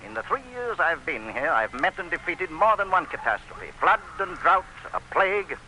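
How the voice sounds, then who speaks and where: An actor playing a stubborn, self-assured aging plantation owner in an old-time radio drama, sardonic and declamatory. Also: male voice, on the high side, very animated, moderate pace, sharp tone, full character voice. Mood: defiant, proud. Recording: noisy old radio recording.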